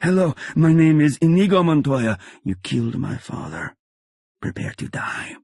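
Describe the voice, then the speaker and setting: A husky-voiced man voicing a wounded, vengeful swordsman who speaks with hushed, grim intensity. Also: on the high side, sing-song, moderate pace, no echo, studio quality, voice pushed up, exaggerated voice. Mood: hushed menace.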